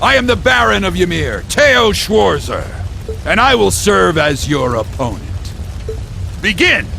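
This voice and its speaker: A man's voice: high-pitched, very animated, a little slow, vocal fry, background noise, exaggerated voice. A man playing a proud, honorable middle-aged baron in a video game, speaking formally and firmly. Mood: proud resolve. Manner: formal, firm, declamatory. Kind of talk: dramatic video game acting.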